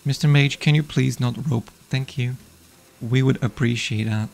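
A man's voice: mid-range voice, fairly even intonation, normal speed, gravelly, darkish tone, slight background noise. A man streaming a digital card game live, playfully chatting with his opponents and viewers.

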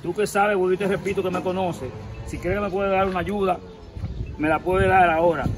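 A man's voice: sits high, steady tone, a little fast, loud music behind the voice. A man in his forties with a Dominican accent, pleading and emphatic in a street interview, recorded outdoors on a camera close by. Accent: Dominican Spanish. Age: 40s. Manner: pleading, emphatic, gestures while talking. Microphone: handheld camera close by.

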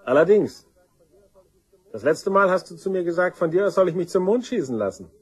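An actor playing a bitter, aggrieved younger son in a German crime radio play, sarcastic and accusing. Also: male voice, high voice, lively intonation, brisk, husky, rough recording.

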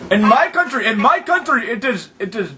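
High-pitched pushy foreign prank caller. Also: male voice, sing-song, moderate pace, pushed and bright, heavily acted. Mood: indignant, pushy. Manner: indignant, repetitive broken English without contractions. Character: pushy, absurd, crude foreign male phone caller. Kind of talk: improvised prank phone call.

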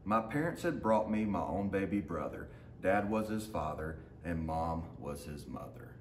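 A man in his thirties with a lowish voice reads a picture book aloud to children in a simple storytelling way. He is recorded from a few metres away in a big, echoey open room, with faint noise behind him. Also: animated, moderate pace. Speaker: teacher reading aloud to students. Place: large open indoor lounge with hard floor. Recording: roomy echo, faint background noise.

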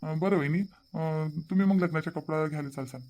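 Casting: voice actor as an easygoing young son, quick persuasive talk. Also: mid-range voice, a little mellow, lightly acted. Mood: reproachful. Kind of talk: cartoon voice acting.

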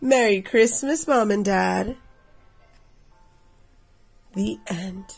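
Lady in her 30s doing a kids storytime read aloud, bit raspy and creaky, expressive. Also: medium pitch, very slow, faint music behind. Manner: addresses children directly. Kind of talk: expressive picture-book reading for children.

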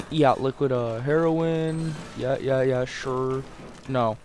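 A man's voice: mid-range voice, slow, darkish tone. A man doing casual, rambling, self-deprecating gameplay commentary with frequent swearing and a slightly sore throat. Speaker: gaming video host. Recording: game gunfire and noise behind voice. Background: zombie shooter gunfire and ambience.

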